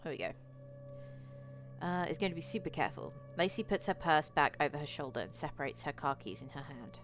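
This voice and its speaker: A woman's voice: low voice, lively intonation, brisk, a bit bright. A woman game streamer reading a visual novel aloud with casual commentary. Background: music.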